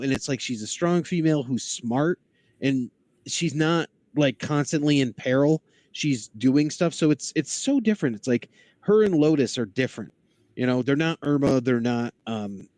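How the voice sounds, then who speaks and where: A man with a mid-pitched voice talks casually as a guest on a podcast. He sounds enthusiastic and openly geeky. The sound is close and dry, with very little room around him. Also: moderate pace, darkish tone, dry recording. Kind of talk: casual chat about cartoons.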